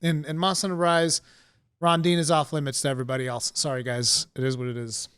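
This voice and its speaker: A man from Portugal, co-hosting a podcast, telling stories in a casual, animated, emphatic way. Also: a little high, brisk, clear, resonant tone, no room sound, studio quality. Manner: animated storytelling, emphatic asides. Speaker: podcast co-host. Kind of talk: casual chat.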